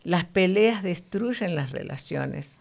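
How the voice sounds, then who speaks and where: Low, gravelly-voiced woman teaching the Bible. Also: animated, slow, darkish tone. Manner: earnest, teacherly, frequent "¿no?" tags.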